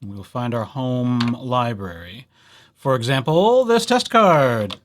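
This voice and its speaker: Animated man presenting a playful tutorial. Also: mid-range voice, slow pace, slight background noise. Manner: jokey, playful, addressing viewers directly. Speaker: library staff presenter of a tutorial series. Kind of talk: casual scripted tutorial narration.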